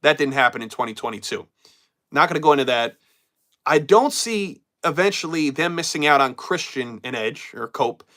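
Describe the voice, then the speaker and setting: Chatty man with a cold, bright voice. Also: a little high, lively intonation, moderate pace. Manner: casual, loose, repeats himself and trails off. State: getting over a cold, voice going. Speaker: wrestling show host. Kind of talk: live-stream chat, reading viewer comments.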